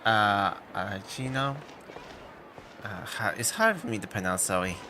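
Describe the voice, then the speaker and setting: A man livestreaming a video game with casual, halting commentary in broken phrases, game music playing behind him. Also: lowish voice, sing-song, pushed and bright, slight background noise. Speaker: game streamer.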